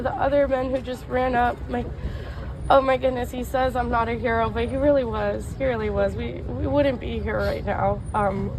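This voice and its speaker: A woman's voice: fairly high pitch, gravelly. An emotional woman speaking spontaneously as a resident in a news interview. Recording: noisy outdoor street interview.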